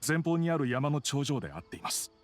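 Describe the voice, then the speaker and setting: A man's voice: on the high side, very animated, racing, soft background music. A man playing an earnest, polite and devoted middle-aged family man in a video game, a little wistful.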